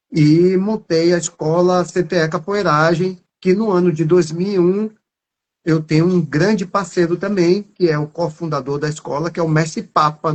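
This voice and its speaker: A man from Salvador with a Bahian accent, talking at length as an interview guest and filling his speech with little tag words. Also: fairly high pitch. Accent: Bahian Brazilian Portuguese. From Salvador, Bahia, Brazil. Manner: warm, rambling, many fillers like né and tá. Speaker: capoeira master.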